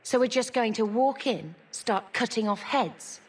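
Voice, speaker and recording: A woman's voice: a little high, animated, unhurried, breathy edge. An actress playing an earnest, anxious young woman in a video game, with a questioning manner. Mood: worried, questioning. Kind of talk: dramatic video game acting.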